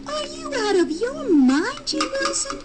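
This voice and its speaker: An actress playing a feisty, indignant young girlfriend with sassy, drawn-out delivery in an audio drama. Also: very high voice, very animated, music in the background, heavily acted. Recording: noisy audio.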